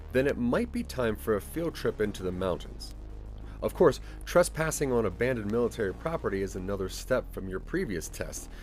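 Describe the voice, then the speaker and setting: A man narrating a scripted second-person audio drama as a measured, dramatic storyteller. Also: fairly low pitch, darkish tone.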